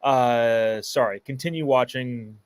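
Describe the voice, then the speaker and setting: A man's voice: mid-range voice, slow, smooth, no echo. A man hosting a live show, giving sarcastic commentary on a clip and reading viewer comments aloud.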